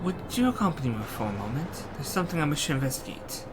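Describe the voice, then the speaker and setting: A man's voice: on the high side, big pitch swings, quick pace, noisy background. A man voicing a curious, scholarly young male adventurer in a fantasy game, with formal, bookish phrasing. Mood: inquiring. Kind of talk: voiced fantasy game dialogue. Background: loud game music.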